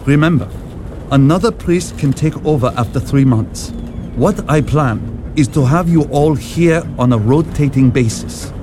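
An actor playing a grave, authoritative bishop who gives measured instructions in an audio drama, with music beneath. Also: male voice, fairly high pitch, lively intonation, noisy background.

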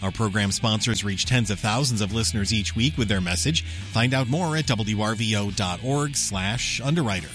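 A man announcing station breaks and reading the weather on local radio, in a steady, informal manner. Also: lowish voice, moderate pace, few pauses, soft background music.

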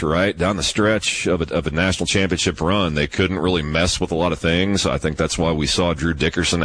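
Deep bass-voiced sports analyst. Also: male voice, a bit bright, poor audio. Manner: measured, analytical, self-deprecating.

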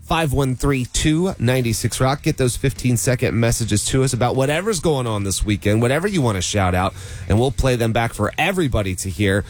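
A man's voice: mid-range voice, lively intonation, fairly quick. A man hosting a morning radio show in casual chat, easygoing and chatty, sounding a little nasal and congested from a cold, with a slightly sore throat. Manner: easygoing, chatty, laughs often. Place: radio studio.

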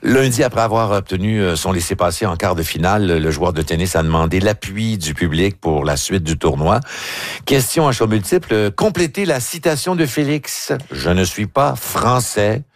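A man's voice: lowish voice, animated, normal speed, dry recording, clean studio sound. A man hosting a radio show, running a playful quiz and joking with his panel. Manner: jokey, laughs often. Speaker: radio host.

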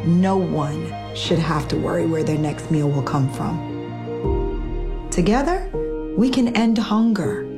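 A woman voicing a scripted public service announcement in a calm, earnest way. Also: low-pitched, takes it slowly. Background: music.

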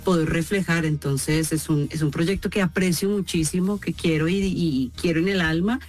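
Expressive Colombian actress, storytelling. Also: female voice, fairly low pitch, normal speed, faint music behind. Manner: expressive, storytelling with acted quotes. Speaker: actress guest. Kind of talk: interview answers.

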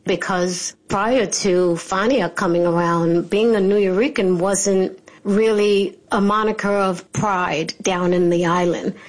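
A woman's voice: lowish voice, poor audio. A woman from New York, a podcast creator, talking reflectively and fondly as a guest. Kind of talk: reflective conversation.

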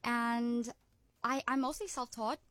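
A woman's voice: a little high, steady tone, fairly bright tone, dry recording. Cheerful young woman, American-sounding accent. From South Africa, Durban. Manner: cheerful, laughs often, quick asides. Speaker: guest musician. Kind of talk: radio interview.

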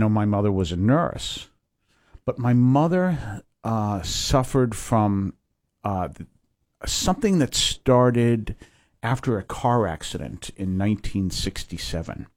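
A low-voiced man in his fifties from Massachusetts speaks as a priest in a radio interview. He wanders through his answers with lots of pauses and restarts. The sound is close and dry. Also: a little mellow, no echo. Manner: rambling, with many fillers and restarts. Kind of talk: conversational interview.

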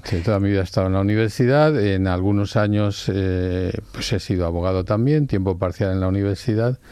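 Senior male jurist in his sixties, Valencian first language, deep mellow tone, measured explainer. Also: clear, resonant tone, no echo. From Spain. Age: 60s. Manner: measured, explanatory, pauses to rephrase. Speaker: Supreme Court judge and law professor. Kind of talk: reflective interview answers.

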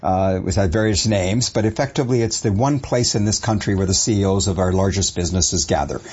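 A man in his fifties from Canada, born in India, answering interview questions in a measured, halting conversational way. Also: low-pitched, darkish tone, husky, lo-fi sound. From born in India, raised in Calgary, Canada. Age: 50s. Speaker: business association chief executive, guest.

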